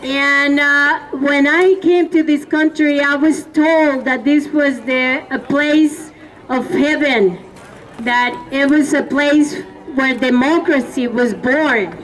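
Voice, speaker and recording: A high-voiced woman from El Salvador gives a slow, earnest speech to a rally crowd. She pauses often and calls out to the listeners. She is recorded from a distance, with the crowd faintly audible around her. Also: fairly bright tone. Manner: impassioned, halting, calls out to the crowd. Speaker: rally speaker. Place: outdoor rally. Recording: distant mic with crowd noise.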